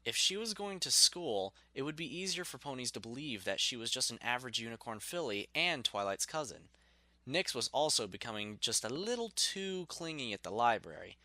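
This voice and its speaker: A man's voice: mid-range voice, bright, forceful tone, dry recording. A man narrating a scripted story expressively, in the manner of an audiobook reader.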